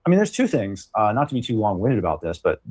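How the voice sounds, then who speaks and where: A man's voice: lowish voice, wildly swooping pitch, fast, a little mellow, breathy edge. A man speaking as an attorney being interviewed, recounting things in a casual, explanatory way. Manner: conversational, explanatory.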